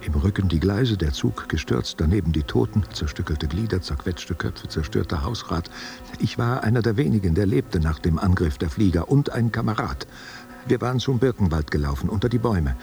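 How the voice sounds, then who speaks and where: An actor playing a guilt-haunted war veteran confessing to his lover in a halting, self-questioning monologue. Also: low-pitched, expressive, creaky, dark tone, soft background music. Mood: grim, remembering. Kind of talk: literary audio drama monologue.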